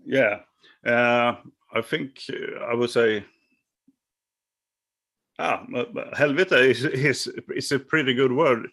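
A man's voice: mid-range voice, fairly bright tone. Swedish man, podcast host, non-native English, hesitant and laughing, over a call. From Sweden. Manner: halting, many fillers and restarts, laughs often. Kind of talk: casual chat. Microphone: remote call.

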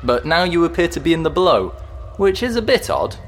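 Very animated, nervous, polite ghost. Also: male voice, fairly high pitch, a little fast, soft background music. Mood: friendly, nervous. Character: polite, slightly nervous, friendly male ghost. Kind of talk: audio drama acting.

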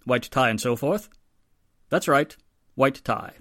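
A man playing a wealthy, middle-aged society father in a 1940s-style radio drama, speaking with courteous, measured formality. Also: mid-range voice, lively intonation, bright, forceful tone, a little hiss or hum. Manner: formal, polite, measured phone manner.

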